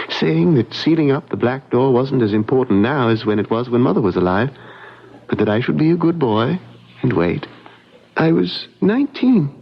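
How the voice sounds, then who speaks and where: A man's voice: medium pitch, creaky, husky. An actor playing an anxious, wistful young man of twenty-one in an old radio drama. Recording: dull vintage radio broadcast.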